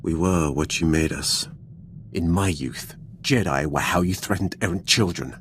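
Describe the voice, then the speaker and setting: An actor voicing an ancient, disdainful male Sith lord in a video game, speaking in a measured, condescending way. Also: low voice, a bit bright, a little hiss or hum. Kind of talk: dramatic video game dialogue.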